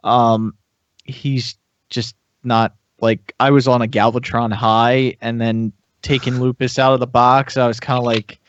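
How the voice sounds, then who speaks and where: A man's voice: mid-range voice, level tone, unhurried. A man chatting casually as a podcast panelist reviewing toys, hesitant and thinking aloud as he goes. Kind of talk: casual chat. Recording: slightly dull, lo-fi sound.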